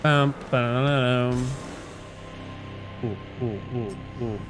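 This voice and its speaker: A man's voice: medium pitch, slow. A man chatting casually with viewers as he livestreams a game, rambling and playful, breaking into nonsense sounds. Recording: loud game music behind voice. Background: video game music.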